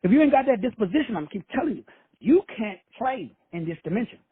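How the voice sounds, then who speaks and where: Husky man preaching over the phone. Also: high voice, big pitch swings, fast talker, no room sound. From Texas. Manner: swaggering, preachy, peppered with profanity and slang. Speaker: host of a call-in show. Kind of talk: profane motivational preaching. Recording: tinny phone line, dry.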